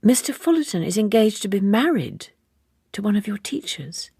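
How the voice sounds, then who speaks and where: A woman voicing a reserved young typist in an audiobook novel, careful, polite and quietly probing. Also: mid-range voice, very animated, moderate pace, vocal fry, slightly rough, no echo, clean studio sound, voice pushed up, exaggerated voice. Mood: guarded curiosity.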